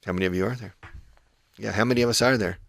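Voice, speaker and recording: Low-voiced guy explaining english phrases on a radio show, patient, dry studio sound. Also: very fast, creaky, fairly bright tone, no echo. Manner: patient, explanatory, switches between two languages. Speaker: English teacher and radio host.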